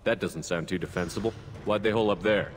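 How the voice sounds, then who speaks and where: An actor playing a composed, businesslike human commander in a video game, direct and questioning. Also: male voice, deep voice, fast talker, natural voice. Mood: direct, inquiring. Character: steady, businesslike human male military commander. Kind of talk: video game character acting. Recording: long reverb, clear background noise.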